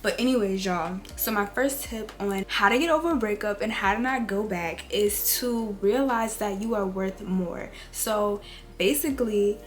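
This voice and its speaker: A woman in her twenties with a raspy, rough voice from a cold, giving casual advice straight to her viewers. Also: mid-range voice, normal speed. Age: 20s. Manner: chatty, direct, addresses viewers as y'all and girl. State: hoarse from a cold. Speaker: beauty and lifestyle vlogger. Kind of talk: casual girl-talk advice.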